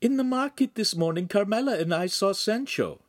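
A man voicing an excitable middle-aged wife of a master craftsman, high and shrill. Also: normal speed, no echo, studio quality. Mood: eager.

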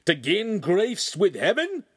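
A shrill, gushing Italian wife. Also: male voice, wildly swooping pitch, takes it slowly, breathy edge, no echo, clean studio sound, full character voice. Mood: alarmed. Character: excitable middle-aged Italian wife.

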